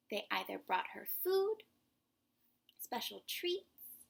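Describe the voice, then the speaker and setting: A woman with a fairly high voice speaks as a storyteller for young children. Her tone is breathy and often drops into a crackly fry. She is expressive and emphatic, explaining things in simple terms. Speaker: children's story reader and host. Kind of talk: animated read-aloud for young children.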